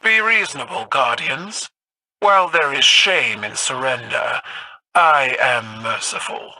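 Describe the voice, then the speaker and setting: Proud, forceful alien warrior empress. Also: female voice, a bass voice, animated, measured pace, a little hiss or hum, full character voice, rough recording. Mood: imperious. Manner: formal, grandiose, commanding. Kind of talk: theatrical video game voice acting.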